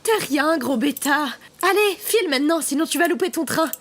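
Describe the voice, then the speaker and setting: Woman acting high-voiced, tough young combat mentor. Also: expressive, moderate pace, a bit bright. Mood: affectionate. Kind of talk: audio drama acting.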